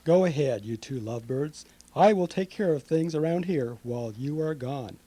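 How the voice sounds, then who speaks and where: A man's voice: fairly high pitch, expressive, normal speed, warm tone. A man playing an obliging, good-natured lab assistant in an old-time radio drama. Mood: genial. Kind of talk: Golden Age radio drama acting.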